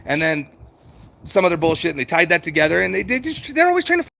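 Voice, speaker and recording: A man's voice: sits high, sing-song, fast, vocal fry, pushed and bright, slight background noise. A middle-aged American man hosting a casual livestream, talking in a rambling, repetitive, conversational way with some swearing. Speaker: livestream host answering viewer chat. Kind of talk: casual unscripted chat, reading out and answering comments.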